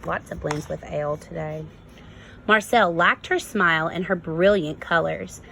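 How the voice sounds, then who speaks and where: Slow, creaky-voiced woman teacher reading aloud. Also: on the low side. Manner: expressive, instructive, prompting listeners with questions. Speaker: kindergarten teacher. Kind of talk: read-aloud lesson for young children.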